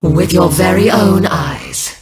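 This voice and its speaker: Echoing, gravelly, menacing accuser. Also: female voice, on the low side, steady tone, a crowd in the background. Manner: slow, ominous, theatrical. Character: menacing, commanding accuser in a fantasy game. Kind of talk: dramatic video game voice acting.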